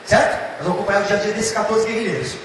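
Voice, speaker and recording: A man's voice: high voice, rapid-fire. Brazilian man with a paulista accent teaching literature, theatrical, long reverb and background noise. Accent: São Paulo state Brazilian Portuguese. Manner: lively, theatrical, addresses students directly. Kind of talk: animated exam-prep lecture. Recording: echoey hall, far from mic, noisy.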